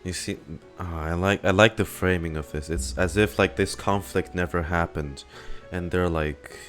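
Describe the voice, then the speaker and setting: A man giving casual, halting, sweary reaction commentary on a game, musing aloud with music behind. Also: sits low, steady tone. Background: soft game music.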